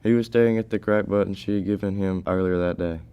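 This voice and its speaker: A man's voice: low-pitched, steady tone, fast talker, warm tone, clear, resonant tone, lo-fi sound. A teenage male reading scripted story narration.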